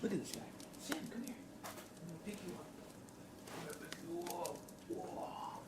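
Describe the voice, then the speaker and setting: A man streaming live ASMR, speaking softly and often in a whisper, with a playful, deadpan roleplay manner. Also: a little high, normal speed, heavy background noise.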